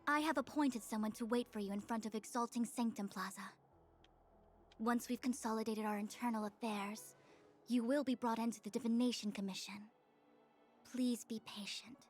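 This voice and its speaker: A woman voicing a proud, exacting female diviner in a video game, speaking with imperious, formal, measured diction. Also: fairly high pitch, fairly even intonation, lightly acted. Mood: stern, assured.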